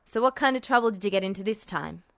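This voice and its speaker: A woman's voice: medium pitch, animated, quick pace, vocal fry, clear, resonant tone, pitched below the performer's own voice. A woman voicing a tall, cheerful soldier who teases and jokes in a storybook reading. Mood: teasing. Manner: teasing, stage-whispering.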